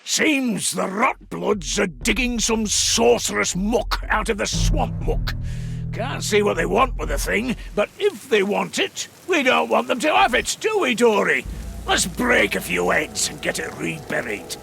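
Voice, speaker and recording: A gruff, battle-loving dwarf engineer from a fantasy video game. The voice is heavily gravelled yet pitched fairly high, swinging widely as he talks. He is hearty, colloquial and full of swagger. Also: big pitch swings, unhurried, bright, forceful tone, faint music behind, heavily acted. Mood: wry, determined. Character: gruff, boisterous dwarf engineer, fond of fights. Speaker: mission-briefing game character. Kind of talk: scripted video game voice lines.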